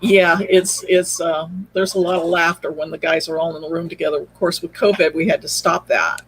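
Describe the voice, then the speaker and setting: Gravelly, higher-pitched man chatting as a guest. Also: fairly even intonation, brisk, a bit bright, low-quality recording. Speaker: podcast guest, audio drama creator. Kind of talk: casual interview chat.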